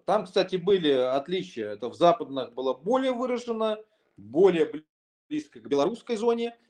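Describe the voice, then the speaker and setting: A man's voice: high voice, very animated, moderate pace, darkish tone. A man, a lawyer, explaining his view in a conversational discussion, thoughtful and full of hesitations and restarts.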